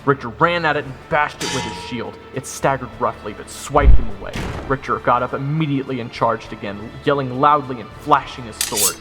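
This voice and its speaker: A wry soldier, voiced by a man, narrates his fantasy adventure in the first person. His voice is mid-pitched and matter-of-fact, with clear music underneath. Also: a little fast, few pauses, natural voice. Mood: tense. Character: wry watchful soldier telling his story. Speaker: narrator of audio roleplay.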